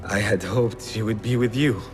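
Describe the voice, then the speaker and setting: An actor playing a mortally wounded warrior in a video game, halting and solemn, loyal and resigned to his fate. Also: fairly low pitch, soft background music, natural voice, low-quality recording. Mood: weary, resigned. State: weak, bleeding to death. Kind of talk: dramatic video game acting.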